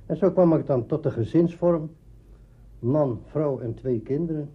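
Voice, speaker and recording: Husky older man reminiscing. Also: fairly high pitch, a little slow. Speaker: radio play writer interviewed. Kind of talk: spoken reminiscence. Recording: dull archive interview recording.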